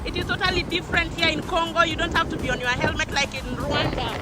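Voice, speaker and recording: A woman's voice: high voice, little pitch movement, fast, over loud music, a loud crowd. A young woman vlogging her travels, chatting casually and laughing often, filming with a handheld camera outdoors. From Kenya. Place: outdoors on a moving boat and a beach.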